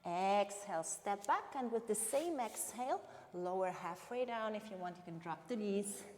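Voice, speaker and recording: A woman from Switzerland with a Swiss accent, a native Bernese Swiss German speaker, leads a live yoga class with calm, step-by-step cues and breath prompts. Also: medium pitch, moderate pace. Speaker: yoga instructor. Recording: long reverberant hall-like echo.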